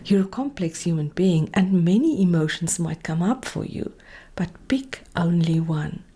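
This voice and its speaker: A woman speaking as a meditation coach, giving calm, gently directive guided instruction in a soft, breathy voice that often nears a whisper. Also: on the low side, unhurried.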